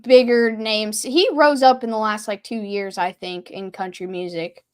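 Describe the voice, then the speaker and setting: A woman co-hosting a live football stream in casual chat, excitable and quick to react to big plays. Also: mid-range voice, smooth, no room sound.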